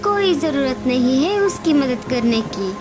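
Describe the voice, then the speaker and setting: A woman voicing a sharp-tongued, nagging female bird, a wife and mother, in a cartoon. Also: high-pitched, expressive, a little slow, music in the background. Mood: scornful. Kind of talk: Hindi cartoon dialogue acting.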